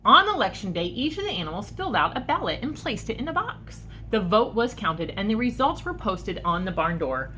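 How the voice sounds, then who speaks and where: A woman reads a children's picture book aloud in a bright, lively voice that swings widely in pitch. Her delivery is expressive and engaging, in the manner of a teacher speaking to young listeners. Faint noise sits behind her. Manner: expressive, pausing to ask listeners questions. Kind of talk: animated storybook read-aloud for children.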